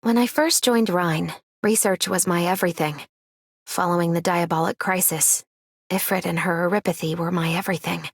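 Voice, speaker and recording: A woman voicing a composed, devoted researcher in a game, speaking in a measured, earnest way. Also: fairly low pitch, steady tone, slightly rough, no echo, clean studio sound. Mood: earnest, reflective. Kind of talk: scripted game voice lines.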